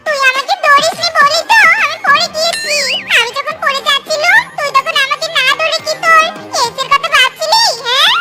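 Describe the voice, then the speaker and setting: Shrill-pitched jealous cartoon sweetheart. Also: fairly even intonation, fairly quick, hardly any pauses. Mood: scolding. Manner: sarcastic, nagging, accusing. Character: jealous, scolding, quick-tempered female cartoon sweetheart. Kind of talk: Bangla children's cartoon voice acting. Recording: loud music behind the voice.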